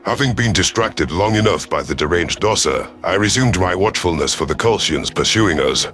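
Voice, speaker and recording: Deep, gruff reptilian predator commander, acted by a man. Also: expressive, moderate pace, fairly bright tone, faint music behind. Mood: grave narration. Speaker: narrator in character. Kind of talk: first-person scripted narration.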